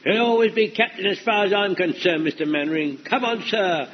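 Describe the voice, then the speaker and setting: An actor playing an eager, chatty old lance corporal. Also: male voice, high voice, a little fast, muffled recording, slight background noise, natural voice. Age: older.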